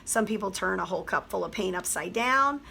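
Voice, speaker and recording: Hoarse woman explaining a craft. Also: medium pitch, animated, fairly quick, sharp tone, a little hiss or hum. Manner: chatty, step-by-step explaining. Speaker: teacher; craft tutorial host. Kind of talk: craft tutorial voice-over.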